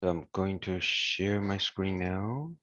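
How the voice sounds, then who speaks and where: A man who speaks English as a second language, hosting an informal online tutorial in a careful, halting way. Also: low-pitched, fairly bright tone. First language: not English. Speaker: webinar host and software trainer. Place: indoor room, online webinar. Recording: muffled, roomy online call audio.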